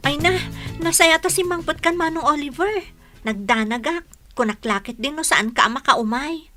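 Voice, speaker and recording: A woman's voice: high-pitched, moderate pace, bright, forceful tone, soft background music. A woman playing a sweet, coy young village woman in a radio comedy drama. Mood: sweet, concerned.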